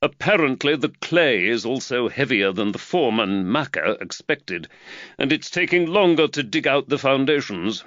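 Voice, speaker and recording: Guy doing a preachy old-school magistrate, pitched up, all animated, dull dry sound. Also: normal speed, no echo. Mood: brisk. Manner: lively, preachy, warms to his theme. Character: gruff middle-aged male London magistrate, earnest.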